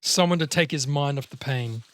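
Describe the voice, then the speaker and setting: A man's voice: fairly high pitch, normal speed, a bit bright, dry recording. A man talking casually as a podcast host. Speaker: podcast host and amateur actor. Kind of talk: casual chat.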